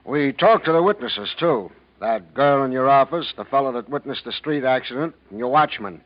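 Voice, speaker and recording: A man acting as a plain-spoken American detective from a 1940s radio play. He talks in a colloquial, methodical way at an average pitch. The sound is muffled and vintage. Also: lively intonation, moderate pace, natural voice. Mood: matter-of-fact. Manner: matter-of-fact, colloquial. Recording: dull old 1940s radio recording.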